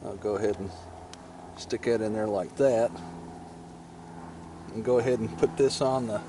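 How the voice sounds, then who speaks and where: A man's voice: on the low side, steady tone, moderate pace. A man giving a casual, plain-spoken, step-by-step tutorial while he works with his hands. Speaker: motorcycle vlogger demonstrating gear installation. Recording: clear ambient and vehicle noise.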